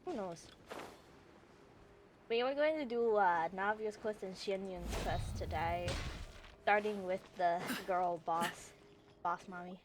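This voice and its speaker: A woman's voice: medium pitch, slow pace, pauses often, background noise. A woman livestreaming a game, chatting casually in a laid-back, rambling, self-deprecating way. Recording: roomy echo, soft background music. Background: soft background music.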